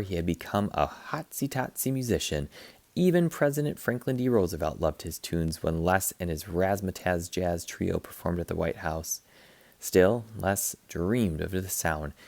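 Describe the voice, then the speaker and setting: Male read-aloud narrator for children's books: playful, lively swings in pitch, close dry sound. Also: moderate pace, creaky, no room sound. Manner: expressive, playful, acts out sound effects. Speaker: teacher reading aloud to students. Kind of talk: animated children's picture book read-aloud.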